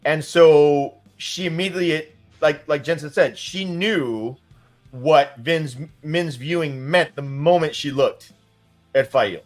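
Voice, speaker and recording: A man's voice: a little high, slow, soft background music. Man chatting animatedly about a book series. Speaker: podcast co-host. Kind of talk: casual fan discussion.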